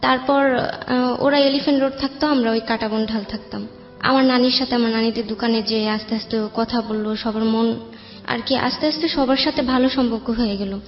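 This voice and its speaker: A woman's voice: on the high side, fairly even intonation, fairly quick. A woman from Bangladesh with a Bangladeshi accent, telling her personal life story as an interview guest. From Bangladesh, raised in Dhaka. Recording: muffled, echoey room with music bed.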